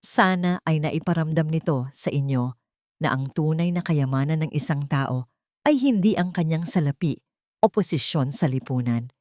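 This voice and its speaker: A woman's voice: sits low, moderate pace, darkish tone, dry recording, studio quality. A woman reading a scripted love story as an audiobook narrator.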